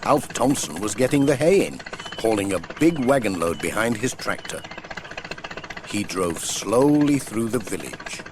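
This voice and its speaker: A man's voice: on the low side, noisy background. A man reading a children's story as a scripted audiobook narrator.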